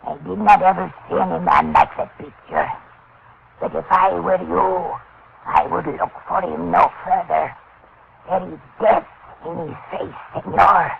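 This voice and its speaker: Ominous old Spanish-accented woman, slow, heavy gravel, 1940s radio drama, tinny sound. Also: medium pitch, expressive. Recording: noisy, narrow 1940s radio recording.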